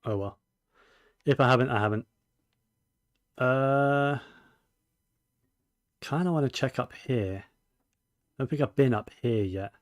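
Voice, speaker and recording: A man giving casual gameplay commentary, musing to himself in a low-key way. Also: takes it slowly, pauses often, dry recording, clean studio sound. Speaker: gaming streamer. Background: faint game music.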